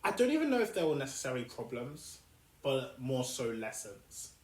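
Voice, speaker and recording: A young man with a South London accent speaks at a medium pitch, thinking aloud as he hosts his own podcast. He pauses often, restarts his sentences and searches for words. The room around him sounds echoey. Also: sing-song. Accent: South London English. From South London, England. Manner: thoughtful, with frequent fillers and restarts. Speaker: podcast host. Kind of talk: reflective solo podcast monologue.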